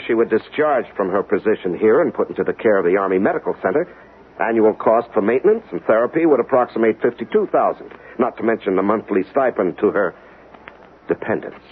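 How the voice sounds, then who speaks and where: A man acting in a 1970s radio drama as a reserved government investigator, measured and precise, on a lo-fi broadcast recording. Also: fairly low pitch, breathy edge. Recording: lo-fi old radio broadcast, faint noise.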